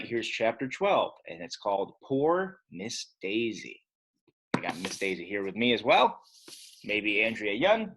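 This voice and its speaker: Animated, husky-voiced male teacher. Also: on the low side, low-quality recording. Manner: animated, chatty, addresses pupils directly. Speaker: elementary school teacher. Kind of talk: casual read-aloud lesson for children.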